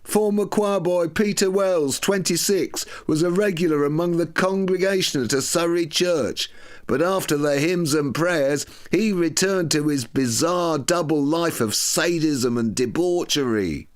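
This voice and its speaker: Man putting on a high, scandalised tabloid voice. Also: unhurried, lightly acted. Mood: scandalised relish. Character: lurid, scandalised tabloid newspaper voice.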